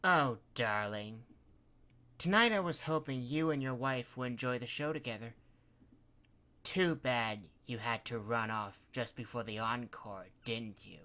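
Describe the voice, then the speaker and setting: A scheming cat queen, as a male storyteller puts her on, purring her threats low and soft. She drawls slowly and keeps her voice hushed and silky, savoring every taunt. The tone is bright and edged even when she whispers.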